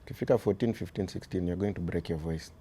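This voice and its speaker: A man with a Kenyan accent and a very deep voice, talking earnestly as an interview guest about raising his sons, often dropping "you see" and shifting into Swahili. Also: fast, dark tone, husky. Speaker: guest, father of boys. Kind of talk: reflective conversational interview.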